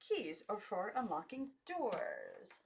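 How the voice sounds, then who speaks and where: A showy young girl lording it over others in a storybook, played by a woman reading aloud. She explains things in an imperious, scornful manner, with her pitch lifted. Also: very animated, slow, slight background noise. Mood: showing off. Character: bossy, showy young girl playing know-it-all teacher.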